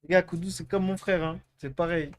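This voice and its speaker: A man's voice: sits high, fairly even intonation, fairly quick. A young man hosting a live stream, chatting casually and answering chat with crude, teasing jokes. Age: young adult.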